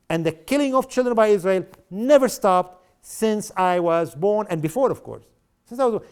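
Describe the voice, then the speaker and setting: A man's voice: high-pitched, darkish tone, clean tone. A middle-aged man from South Lebanon giving a public lecture in an impassioned, sarcastic way, with rhetorical questions to the audience. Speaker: guest speaker.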